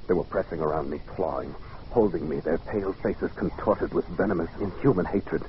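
An actor playing a sensitive, uneasy poet, narrating in a literary first-person style for an old-time radio drama. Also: male voice, low-pitched, brisk, a little hiss or hum, lightly acted. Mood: haunted, fearful. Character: nervous, sensitive male poet recounting an eerie encounter. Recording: dull old radio recording.

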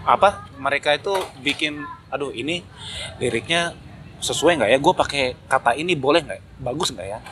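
A man's voice: medium pitch, animated, moderate pace, a bit bright. A man in a casual, opinionated discussion, speaking emphatically and critically and repeating phrases to drive points home. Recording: noticeable background noise.

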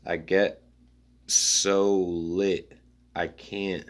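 A man musing aloud in a rambling spoken-word style, reading his own notes in halting fragments. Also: low voice, flat delivery.

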